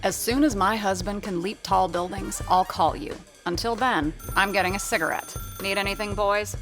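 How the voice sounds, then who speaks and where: An actress playing a weary, wisecracking sound effects artist who narrates with dry humor in an audio drama. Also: female voice, medium pitch, normal speed, fairly bright tone, soft background music, natural voice.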